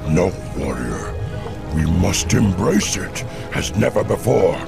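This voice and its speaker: An actor playing a proud, fierce orc warlord in a video game, rough-voiced, intense and declamatory. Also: male voice, low-pitched, big pitch swings, slow pace, over music, heavily acted. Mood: grim resolve. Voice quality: rough, gravelly.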